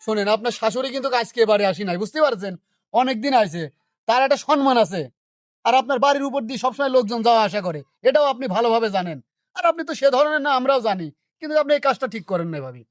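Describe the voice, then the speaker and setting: A woman's voice: on the high side, expressive, racing, no echo, clean studio sound, close to an everyday voice. A woman playing a reasonable village neighbour who questions and advises, with a Bangladeshi accent, in a domestic drama. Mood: admonishing. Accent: Bangladeshi Bengali. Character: meddling, mediating village neighbour, reasonable and admonishing.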